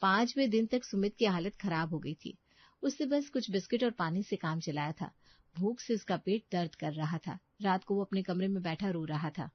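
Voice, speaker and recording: A woman narrates a scripted story at a medium pitch. The recording is very dry and slightly dull, with the top end softened. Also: moderate pace, no room sound.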